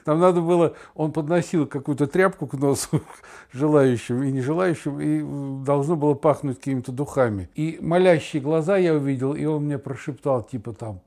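A middle-aged or older man with a fairly high voice co-hosts a podcast. He chats informally and spins anecdotes with wry, mock-plaintive humour. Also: moderate pace, a little mellow. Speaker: podcast co-host. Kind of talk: casual chat and anecdotes.

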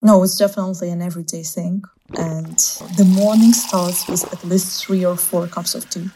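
A woman's voice: fairly low pitch, fairly even intonation, moderate pace, warm tone, slight background noise. A young woman from Azerbaijan whose first language is Russian, chatting casually as an interviewee and laughing often.

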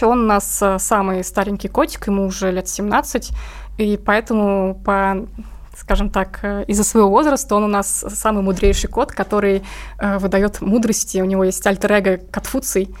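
A woman with a mid-pitched voice talks casually as an interviewee. She tells things in an informal, slangy way, as in relaxed conversation. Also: normal speed. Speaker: interviewed comic artist and cat owner.

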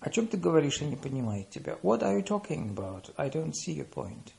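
A man reads out short phrase pairs for a language lesson, his English slow and carefully spaced after brisker Russian. His voice sits a little high and swings widely in pitch. A gravelly, creaky edge runs through it. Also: very animated, dark tone. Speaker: language teacher. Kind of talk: reading lesson phrases with translations.

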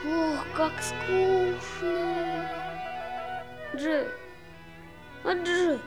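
A small boy in a vintage radio play. He whines naively in a high, slow, drawn-out voice, with music clearly audible behind it. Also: fairly even intonation, exaggerated voice. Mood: bored, whining. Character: bored, whining little boy. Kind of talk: 1960s radio play acting.

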